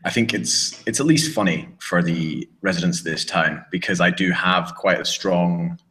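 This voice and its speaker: A man's voice: deep voice, normal speed, bright, forceful tone. A British man co-hosting a podcast, talking casually and rambling with many restarts and fillers. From Britain. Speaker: podcast co-host. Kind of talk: casual chat. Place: living in a small town in southern Spain.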